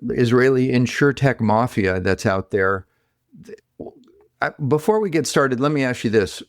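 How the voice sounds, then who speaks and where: Casual, folksy podcast host, dry sound. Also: male voice, medium pitch, clean tone, dry recording. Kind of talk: podcast interview.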